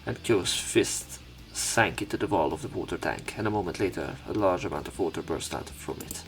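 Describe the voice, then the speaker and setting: A man reading visual novel narration aloud as a let's player, pausing to comment on the text. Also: sits low, gravelly, heavy background noise.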